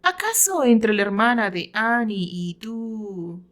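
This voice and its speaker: A woman's voice: on the high side, animated, slow pace, a bit bright. A curious young man played by a woman storyteller in a romantic audio tale. He sounds inquisitive and joking, a friend who likes to tease. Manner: teasing, inquisitive.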